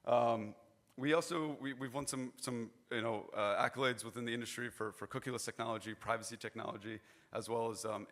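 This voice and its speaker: A hoarse young man giving a chatty, informal conference presentation on stage, often restarting his phrases. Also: lowish voice, fairly bright tone.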